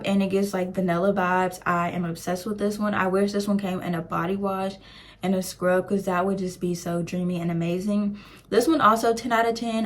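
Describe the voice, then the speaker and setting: A young woman, chatting casually and gushing over products in a beauty review, full of "like" fillers. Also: medium pitch. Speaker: beauty vlogger.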